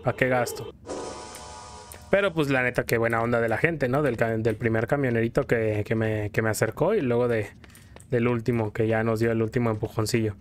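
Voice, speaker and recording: A man's voice: mid-range voice, steady tone, a little fast. A Mexican man streaming a game, rambling casually and playfully in slang as he tells stories and comments on play. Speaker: gaming streamer. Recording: music behind the voice. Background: video game music.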